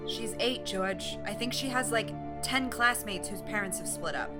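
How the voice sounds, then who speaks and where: A woman's voice: mid-range voice, normal speed, over loud music, lightly acted. A woman acting an exasperated, needling wife in an audio drama, sarcastic in manner. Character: exasperated, nagging wife in a failing marriage.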